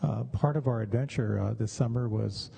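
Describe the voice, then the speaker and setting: A middle-aged or older man giving a conversational meditation talk with personal stories, speaking in a measured, halting way with pauses. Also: mid-range voice, vocal fry, mellow, husky.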